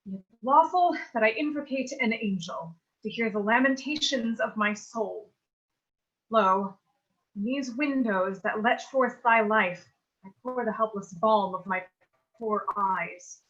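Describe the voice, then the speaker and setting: Woman playing nervous bookish teen girl, highish voice, earnest shakespeare talk, kinda lo-fi sound. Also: unhurried, a bit bright. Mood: mournful. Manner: earnest, theatrical archaic diction. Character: anxious, bookish teenage girl heroine in mock-Shakespearean horror. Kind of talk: mock-Shakespearean staged reading. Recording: dull lo-fi sound.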